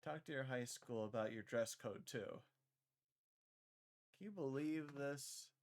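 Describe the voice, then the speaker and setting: A man's voice: medium pitch, unhurried, close to an everyday voice. A man voicing a chatty, opinionated schoolgirl narrator in a visual novel, breezy and teasing as she addresses the listener. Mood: indignant, judging. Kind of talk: visual novel character read-aloud.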